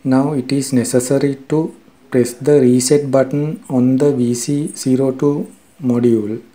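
A man narrating a scripted software tutorial in a formal, measured, step-by-step way. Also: medium pitch, fairly even intonation. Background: background music.

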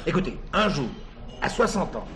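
A man's voice: fairly high pitch, sing-song, moderate pace, fairly bright tone. An actor playing a jovial middle-aged self-made produce wholesaler and doting father in a French radio drama, colloquial and chuckling. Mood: proud, nostalgic.

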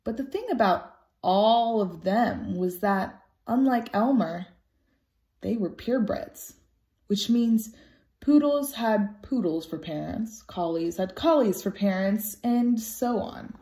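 A woman reading a children's picture book aloud expressively and deliberately, as a storytime teacher. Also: mid-range voice, warm tone. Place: small room at home, indoors. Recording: roomy home recording.

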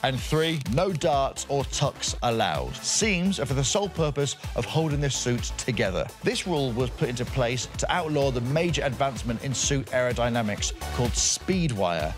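A man's voice: mid-range voice, expressive, moderate pace, few pauses, faint music behind. A man in his thirties hosting a scripted studio explainer, playful with punning asides. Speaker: show host.

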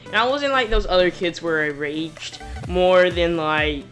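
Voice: medium pitch, unhurried, a bit bright, soft background music. A YouTuber telling a personal story in a casual, rambling, slangy way. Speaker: YouTuber narrating a personal story over gameplay.

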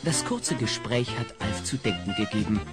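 A man's voice: lowish voice, animated, moderate pace, over loud music. A man narrating a scripted audio play as its storyteller.

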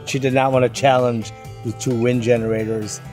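A man's voice: medium pitch, flat delivery, normal speed, music in the background. An older man co-hosting a sailing vlog, talking casually and teasingly to a camera held at arm's length on a catamaran at sea. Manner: playful, teasing. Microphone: handheld camera at arm's length.

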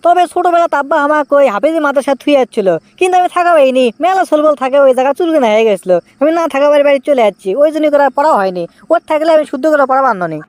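Man voicing a high-pitched whiny young Bogura husband. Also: animated, rapid-fire, few pauses, no room sound. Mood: chatty excuses. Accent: Bogura Bangladeshi. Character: cheeky newlywed young husband, evasive and exasperated. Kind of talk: comic cartoon dialogue.

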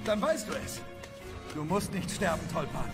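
An actor playing the resolute, practical leader of an adventuring band in a fantasy radio play. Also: male voice, on the high side, sing-song, normal speed. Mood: dry, reassuring. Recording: clear music behind.

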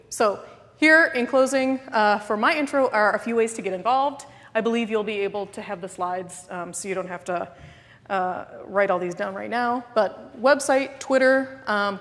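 A woman in her thirties moderating a conference panel, presenting from a podium with some fillers. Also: medium pitch. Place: large conference hall at a podium. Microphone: podium mic. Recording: reverberant hall.

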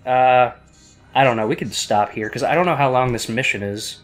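A young man co-hosting a gaming video, chatting casually and reacting loudly to the game. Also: mid-range voice, normal speed, soft background music. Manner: excitable, reacts loudly to gameplay. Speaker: gamer co-host. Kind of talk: casual let's-play banter.

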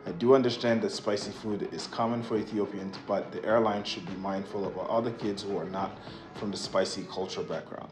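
Low-voiced man narrating over loud music. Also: fairly even intonation, a little fast. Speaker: travel vlogger. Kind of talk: scripted flight review narration. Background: loud stock music.

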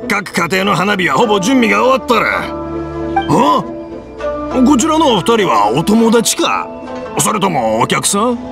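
A man's voice: high-pitched, very animated, a little slow, creaky, full character voice. An actor playing a cheerful, hard-of-hearing old fireworks-maker father with a Kansai accent, folksy and fond of storytelling. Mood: jovial. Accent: Kansai Japanese. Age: older. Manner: folksy, talks past others, storytelling. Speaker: shopkeeper. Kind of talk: game voice acting. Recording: game audio with music behind.

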